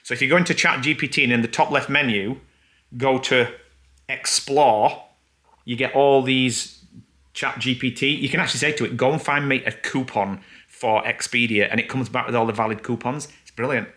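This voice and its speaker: A man's voice: medium pitch, steady tone, moderate pace, bright, forceful tone. A man co-hosting a vlog, chatting casually and enthusiastically to camera with plenty of fillers. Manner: rambling, enthusiastic, many fillers. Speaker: vlog co-host.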